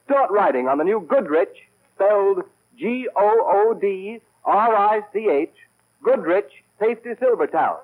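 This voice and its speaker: A man's voice: high-pitched, animated, unhurried. A male announcer delivering scripted copy in a formal, declamatory 1930s radio style. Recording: thin, tinny 1930s radio recording.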